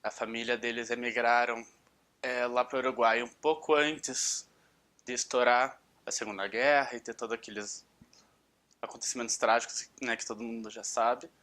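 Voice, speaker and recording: A man speaks in a casual interview with a notably bright, forceful tone. He pauses and restarts now and then as he searches for words. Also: mid-range voice, fairly even intonation.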